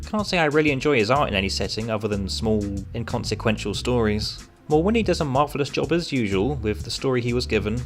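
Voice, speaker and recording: A man's voice: medium pitch, expressive, quick pace, few pauses, soft background music. A man delivering a scripted review narration as a reviewer, with sardonic, wry asides. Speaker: comic book reviewer.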